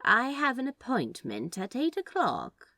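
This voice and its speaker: Sly, knowing woman acted by a woman reader, unhurried. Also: fairly high pitch, huge pitch swings, bright, forceful tone, no echo, pitched above the performer's own voice, exaggerated voice. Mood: shrewd.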